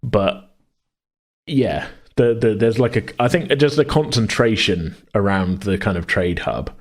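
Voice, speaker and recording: A man co-hosting a podcast in a casual worldbuilding chat, rambling as he thinks out loud. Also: fairly low pitch, unhurried. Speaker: podcast co-host.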